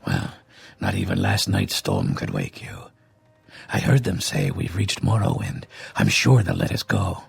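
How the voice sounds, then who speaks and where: Fantasy game prisoner, watchful and friendly, extremely low, raspy, slow. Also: male voice, huge pitch swings, faint music behind, heavily acted. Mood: hushed, watchful. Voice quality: deep, raspy, gravelly. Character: gravelly-voiced fellow prisoner, hushed and watchful, friendly. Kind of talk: scripted fantasy video game dialogue.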